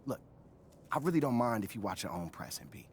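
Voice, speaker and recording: An actor playing an energetic, hype young male agent and best friend in a video game cutscene. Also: medium pitch, a little fast, a little hiss or hum, close to an everyday voice. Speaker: player's friend and manager.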